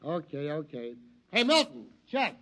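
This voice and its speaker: An actor playing a brusque, offhand bartender in a dive, in a 1950s radio drama. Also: male voice, a little high, huge pitch swings, a little slow, pushed and bright, full character voice.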